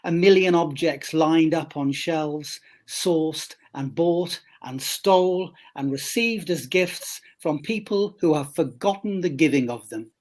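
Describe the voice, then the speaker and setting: Slow, measured poetry reader. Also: mid-range voice, darkish tone. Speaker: poet reading own poem.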